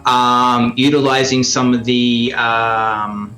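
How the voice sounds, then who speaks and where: A man's voice: mid-range voice, little pitch movement, takes it slowly, bright, forceful tone, soft background music. Australian man talking in a roomy space. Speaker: radio play producer and performer, interview guest. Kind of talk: conversational interview answers.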